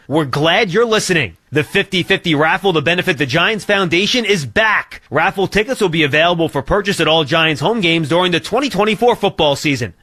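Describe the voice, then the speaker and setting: A man's voice: sits high, pushed and bright, dry recording, clean studio sound. A man reading a scripted promotional announcement as a radio announcer.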